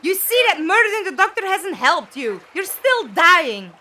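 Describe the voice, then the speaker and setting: Woman acting a very high-pitched, feisty companion. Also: very animated, normal speed, sharp tone, exaggerated voice. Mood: angry, defiant. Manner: blunt, emotive. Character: feisty, outspoken female companion to an adventurer. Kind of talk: fan audio drama acting.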